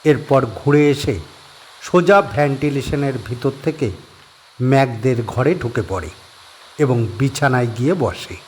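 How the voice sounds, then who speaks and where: A male narrator reading a scripted horror story in a steady, descriptive way. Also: mid-range voice, unhurried, breathy edge, poor audio.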